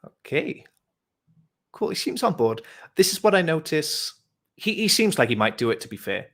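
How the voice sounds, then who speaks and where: A man's voice: fairly high pitch, very animated, normal speed, vocal fry, fairly bright tone. A man from Wales with a British accent, talking casually and persuasively in a friendly, informal, encouraging way as a host. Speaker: host, vegan activist. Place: online voice chat.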